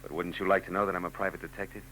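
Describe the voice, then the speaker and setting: An actor playing a dry, wisecracking, world-weary private detective in a 1940s radio drama, on an old broadcast recording with music. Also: male voice, deep voice, little pitch movement, racing, pushed and bright, a little hiss or hum, rough recording. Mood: wry. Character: wry, world-weary male private eye.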